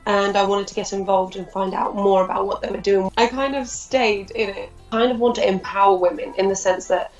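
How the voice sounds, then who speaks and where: A woman speaks in a flat, gravelly tone, relaxed and chatty. She sounds a little distant from the mic, and the recording is dull and echoing, with faint music behind her. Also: medium pitch, fairly quick, hardly any pauses. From Turkey. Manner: relaxed, chatty, says "you know" a lot. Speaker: committee member. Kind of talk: interview answers.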